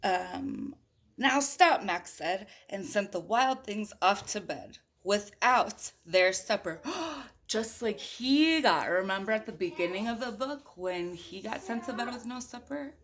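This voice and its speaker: A woman's voice: medium pitch, expressive, unhurried, a bit bright. A woman reading a picture book aloud in a playful, chatty way, with casual asides to young children. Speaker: storybook reader.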